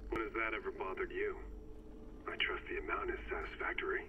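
A distant, noisy phone recording of a menacing game villain. His voice is very low, cold, formal and curt. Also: fairly even intonation, a little fast, lo-fi sound. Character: cold, menacing mystery client man. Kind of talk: video game acting.

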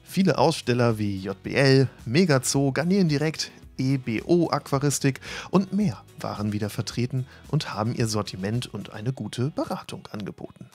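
A man reading a scripted voice-over for an event report in a lively, upbeat way, with a slightly husky voice. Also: medium pitch, normal speed, hardly any pauses. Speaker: channel host narrating event report. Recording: faint music bed behind voice.

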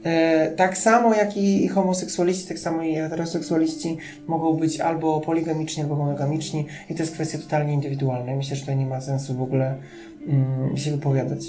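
A man co-hosting a podcast in casual chat, animated and exasperated, often repeating himself. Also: on the high side, fast, faint music behind. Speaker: podcast co-host.

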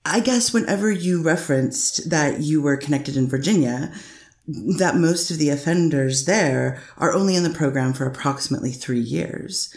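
Low-voiced woman lawyer doing a steady cross-exam read by a woman, echoey room. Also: normal speed. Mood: steady questioning. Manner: methodical questioning, many "is that fair to say". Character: careful woman lawyer cross-examining a witness. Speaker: defense attorney. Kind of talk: courtroom transcript reading.

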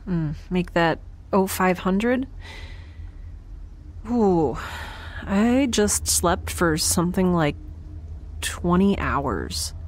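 A woman's voice: lowish voice, expressive, extremely slow, long pauses, darkish tone, exaggerated voice. A woman playing a chatty, quirky, anxiously upbeat space dweller in an audio drama, rambling wryly and naturally. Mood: groggy. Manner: rambling, wry, self-reassuring. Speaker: lead character. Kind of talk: naturalistic audio drama acting, talking to herself.

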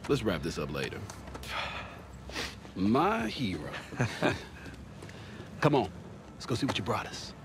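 An actor with an American accent playing a genial, persistent middle-aged salvage crew boss in a video game, hearty and coaxing. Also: male voice, on the low side, wildly swooping pitch, slow, long pauses, background noise, heavily acted. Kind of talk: naturalistic video game cutscene acting.

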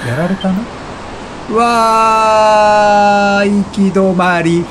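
A man hosting a let's play with excited, exclamatory commentary, often repeating words in bursts. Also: high-pitched, very slow, a little hiss or hum, poor audio. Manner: laughs often while talking.

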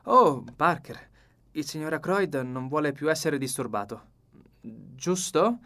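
A man's voice: a little high, expressive, takes it slowly, pushed and bright, heavily acted. A man voicing a polite, hesitant and puzzled young lady in an audiobook. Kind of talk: audiobook character reading.

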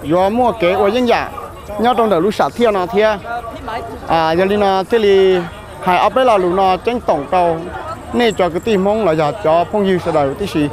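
A man's voice: sits high, moderate pace. A young man from Thailand, an actor, answering interview questions outdoors at a festival, with hesitant speech and many fillers. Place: outdoor festival grounds with a large crowd. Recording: loud crowd noise behind.

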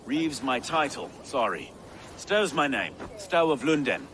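Earnest, lively medieval town reeve. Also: male voice, medium pitch, unhurried, crowd noise. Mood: genial, brisk. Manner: polite, orderly, old-fashioned turns of phrase. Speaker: sheriff. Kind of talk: dramatic video game cutscene acting.

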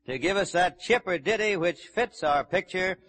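A male emcee of a 1940s radio show speaks in a high-pitched voice. He introduces acts in a hearty, folksy way. The sound is that of an old broadcast recording. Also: lively intonation, moderate pace, fairly bright tone.

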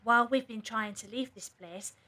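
A woman's voice: fairly high pitch, moderate pace, bright, forceful tone. An actress in an audio drama playing a shrewd, confrontational woman who probes and commands. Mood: accusing. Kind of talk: fan audio drama acting.

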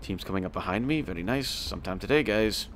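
Guy with a deep croaky voice thats giving out, muttering gamer banter, battle noise behind him. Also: lively intonation, quick pace. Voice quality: hoarse, strained voice. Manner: playful, muttering to himself, mock-military banter. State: losing his voice. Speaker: gaming video creator. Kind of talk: excited casual game commentary. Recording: noisy, game gunfire and engines behind. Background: tank game gunfire and engine noise.

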